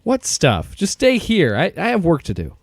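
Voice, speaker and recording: An actor playing a gruff, determined agency director in an audio drama, talking bluntly and casually with some hesitant word-searching. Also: male voice, a little high, huge pitch swings, normal speed, no room sound, studio quality, exaggerated voice. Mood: firm.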